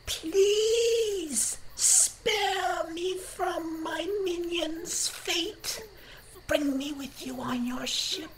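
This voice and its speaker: An actor playing a defeated alien slaver villain who pleads theatrically in a science-fiction radio play. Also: male voice, extremely high pitch, drawn-out words, exaggerated voice. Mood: pleading. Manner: melodramatic, beseeching. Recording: noisy, with loud effects behind.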